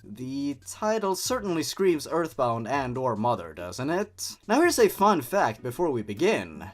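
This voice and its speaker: A man who is not a native English speaker, hosting scripted commentary and horror story readings in an expressive way, with sardonic asides. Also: medium pitch, a little slow. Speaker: host reading and reviewing horror stories. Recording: music behind the voice. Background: background music.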